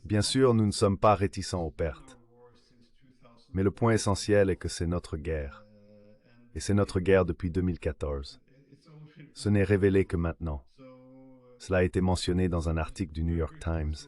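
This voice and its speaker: A man's synthetic AI voice giving a translated political analysis in a measured, formal read-out manner. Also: deep voice, fairly even intonation, darkish tone. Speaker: translation voice-over of an interview guest.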